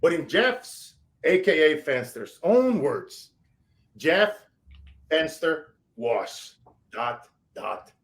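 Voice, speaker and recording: A man's voice: fairly high pitch, sing-song, drawn-out words. Emphatic Brazilian-accented man host. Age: 40s. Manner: emphatic, preachy, shout-outs. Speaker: show host. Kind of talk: motivational interview wrap-up.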